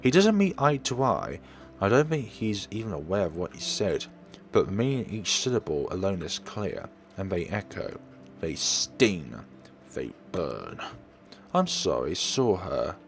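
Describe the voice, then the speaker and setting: Low-voiced man narrating over music. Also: big pitch swings, unhurried, gravelly. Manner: dramatic read-aloud narration. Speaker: let's player. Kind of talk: reading a visual novel aloud. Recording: music playing behind the voice. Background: game music.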